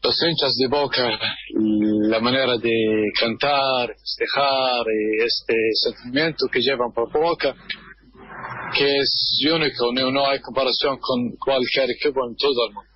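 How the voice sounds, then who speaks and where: Husky man, halting speech. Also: medium pitch, steady tone, no room sound, muffled recording. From Kuwait. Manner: hesitant, many pauses and fillers while searching for words. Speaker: guest, football fan. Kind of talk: radio interview over a line.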